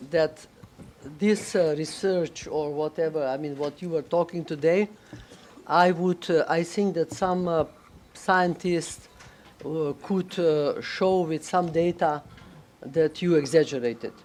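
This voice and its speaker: A woman speaking English as a non-native speaker on a conference panel, halting and searching for words, with many fillers. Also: low voice, a little mellow, a little hiss or hum. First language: neither English nor Russian. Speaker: panelist, head of a management school association. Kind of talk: panel discussion remarks.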